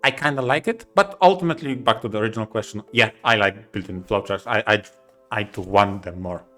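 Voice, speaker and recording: Non guy on a gaming podcast, bright sharp voice, emphatic, bit of music behind. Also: lowish voice, a little fast. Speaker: podcast co-host. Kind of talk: casual podcast discussion of video games.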